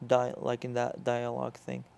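A young man from Syria whose first language is Arabic, co-hosting a casual podcast chat in a halting way, full of "like" and restarts. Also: medium pitch, monotone, creaky, darkish tone, clear, resonant tone. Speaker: podcast co-host.

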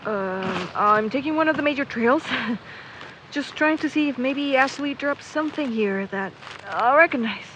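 A woman's voice: high voice, animated, a little slow. A woman playing a pessimistic, sardonic young searcher in an audio drama, skeptical and natural in delivery. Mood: winded, reflective. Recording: muffled, with clear background noise.

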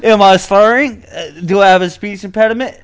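A man's voice: high voice, a little fast, clear, resonant tone, dull audio. A man co-hosting a podcast in casual banter, rambling, laughing often and swearing freely. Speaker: podcast co-host.

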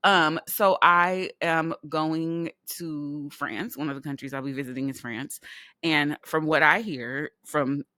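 Podcast co-host, middle-aged woman from California, lowish voice, dry close sound, laughs and swears a lot. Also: steady tone, a little slow, sharp tone, no echo. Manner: laughs often, swears casually. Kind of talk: casual chat.

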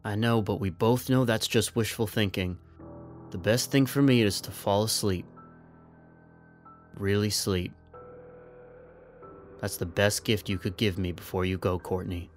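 A man playing a resigned, trapped soldier in an audio drama, speaking in a measured, earnest, persuasive way. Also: lowish voice, fairly even intonation, soft background music, natural voice. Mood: resigned pleading.

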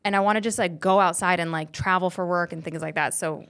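A young woman from Colorado talks as a comedian guest on a podcast. Her voice sits a little low and the words tumble out fast. She tells animated stories full of likes and ums. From Colorado, USA. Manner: chatty, animated storytelling with many fillers. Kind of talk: casual podcast chat.

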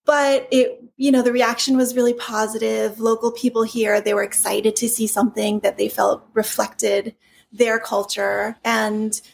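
Woman, raised in Hawaii, raspy and breathy, slightly high voice, rambling chat. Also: flat delivery, measured pace, slight background noise. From California, raised in Hawaii. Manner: chatty, rambling, frequent restarts and fillers. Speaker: toy company founder, guest. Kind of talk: casual interview conversation.

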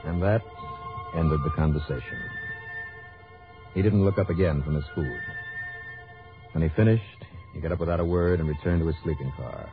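An actor playing a cool, wry private investigator with a dry, easygoing manner in an old radio drama. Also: male voice, low-pitched, normal speed, pauses often, lightly acted. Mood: wry, narrating. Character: cool, wry male private investigator narrating his case. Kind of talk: 1950s-60s radio drama narration and acting. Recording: dull old radio recording, music behind.

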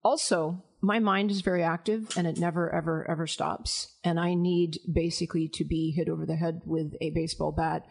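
A woman with a deep voice, talking frankly and confidently as a guest on a panel conversation. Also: fairly even intonation, moderate pace, creaky, hardly any pauses, breathy edge. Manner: frank, emphatic and self-assured. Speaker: anonymous guest.

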